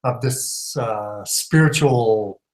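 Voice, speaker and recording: A man appearing as a guest on a talk show, his voice sitting in an ordinary middle pitch. He talks haltingly, stopping often on filler sounds as he works out his ideas aloud. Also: rough recording. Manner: hesitant, many "uh"s, speculative.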